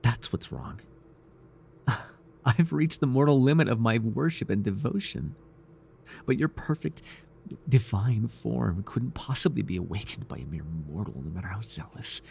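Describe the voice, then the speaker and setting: A man voicing a devoted, nervy, lovestruck cultist in an ASMR roleplay, earnest and halting, shifting between grand and flustered delivery. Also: mid-range voice, expressive, creaky, darkish tone, a little hiss or hum. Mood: earnest, scheming. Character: devoted, awkward young male cultist, zealous, lovestruck, nervy.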